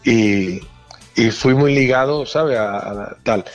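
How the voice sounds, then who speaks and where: A man's voice: mid-range voice, slow, faint music behind. Hesitant man from the Dominican Republic. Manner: hesitant, many restarts and fillers. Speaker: pianist in a band. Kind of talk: casual interview.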